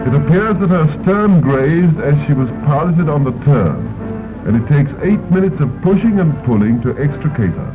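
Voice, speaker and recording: A man's voice: on the high side, big pitch swings, moderate pace. A man giving stately, ceremonious 1930s newsreel narration. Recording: tinny old film soundtrack with music.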